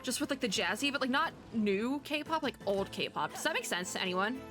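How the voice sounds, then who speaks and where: A woman giving casual, excited reaction commentary as a streamer, rambling, gushing and often cutting herself off. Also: a little high, moderate pace. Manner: rambling, gushing, self-interrupting. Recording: music playing behind the voice. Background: game trailer music.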